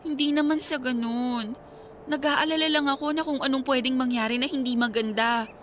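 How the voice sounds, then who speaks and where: A woman's voice: high voice, fairly even intonation, a little fast, a bit bright, slight background noise. A woman with a Filipino accent playing an anxious, submissive young girlfriend in a radio drama. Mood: worried, reluctant.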